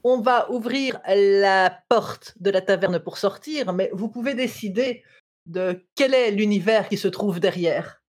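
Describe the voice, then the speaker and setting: A woman's voice: medium pitch, unhurried, darkish tone. A woman acting as game master, narrating a tabletop game playfully with many fillers.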